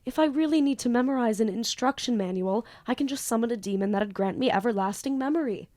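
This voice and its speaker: A woman's voice: fairly high pitch, quick pace, a little mellow, lightly acted. A woman playing a flippant, theatrical teenage student magician in an audio drama, with a wordy, casual manner. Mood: flippant, eager. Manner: casual teen banter, theatrical wordy narration. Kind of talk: audio drama acting and first-person narration.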